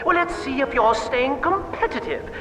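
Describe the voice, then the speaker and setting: A man's voice: very high-pitched, animated, normal speed, recorded from a distance. An actor playing a deranged, pompous corporate boss in a video game, theatrical and taunting like a showman. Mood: showman patter. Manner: pompous, theatrical, swooping between murmur and shriek. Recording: roomy, echoing sound under loud music.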